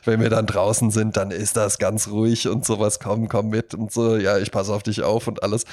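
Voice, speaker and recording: A man's voice: lowish voice, level tone, moderate pace, a little mellow. A man co-hosting a podcast, chatting casually in a rambling way with frequent repeats and tag words. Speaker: podcast co-host. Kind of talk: casual podcast chat with anecdotes.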